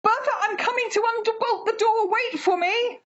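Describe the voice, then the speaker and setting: Very high-voiced, desperate older mother played by an actress. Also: normal speed, a bit bright. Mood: frantic, insistent. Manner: pleading, tearful, highly emotional. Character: distraught older mother, desperate, obsessively hopeful for her daughter. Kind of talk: radio play horror acting. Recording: slightly dull home recording.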